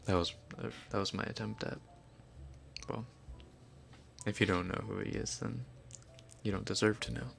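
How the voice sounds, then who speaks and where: A man chatting casually and softly on a late-night ASMR live stream, laid-back and swearing now and then. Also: lowish voice, fairly even intonation, normal speed, vocal fry, long pauses, faint music behind.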